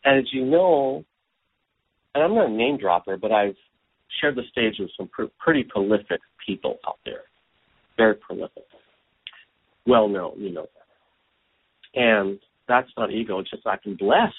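Animated man on a phone-like line. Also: fairly low pitch, a little slow, long pauses, breathy edge. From Hawaii. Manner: animated, chatty, self-deprecating. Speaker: business strategist guest. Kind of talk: casual talk show interview. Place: at home. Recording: phone-like audio.

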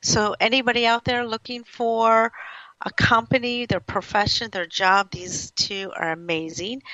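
Female radio host, bright and forceful, dry lo-fi sound, effusive. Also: on the high side, dry recording. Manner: effusive, rambling praise. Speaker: radio talk show host. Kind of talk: casual radio interview. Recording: dull, lo-fi radio audio.

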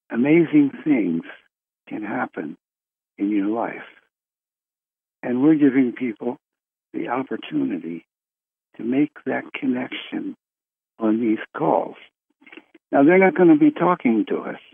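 Man, call host, slow rambling talk, lively pitch, phone-line sound. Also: creaky, breathy edge. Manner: slow, rambling, with restarts and chuckles. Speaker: host of a spiritual conference call.

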